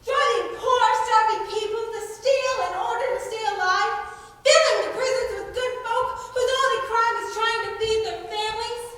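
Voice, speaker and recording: A teenage woman playing a cheeky, confident, noble-born female outlaw leader in a high school stage play, with a teasing, theatrical delivery. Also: moderate pace, a bit bright. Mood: righteous. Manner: teasing, theatrical, self-assured. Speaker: student actor. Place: school stage or auditorium, indoors. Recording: distant mic, very echoey noisy hall.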